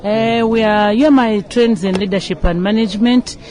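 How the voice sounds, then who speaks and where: A woman's voice: mid-range voice, moderate pace, a little mellow, low-quality recording. Female management lecturer, Ugandan accent, formal spoken interview answers.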